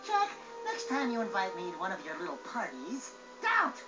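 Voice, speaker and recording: Squeaky, excitable wisecracking sidekick. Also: male voice, moderate pace. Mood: indignant. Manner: cocky, jokey, excitable. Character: wisecracking, cowardly, boastful small cartoon sidekick. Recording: muffled game audio with music.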